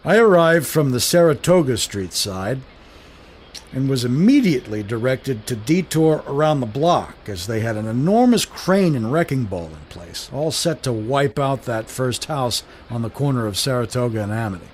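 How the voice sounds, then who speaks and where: A man's voice: medium pitch, lively intonation, normal speed, a little hiss or hum, natural voice. An actor playing a wry, observant 1930s everyman who narrates a radio drama in colloquial, musing first person. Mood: wry, reminiscing.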